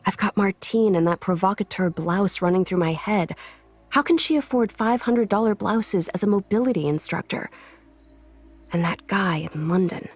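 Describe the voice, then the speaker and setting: A woman acting a headstrong, restless blind high school girl in an audio drama, talking casually and naturally. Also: expressive, normal speed, breathy edge, faint music behind. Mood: restless, frustrated. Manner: casual, conversational.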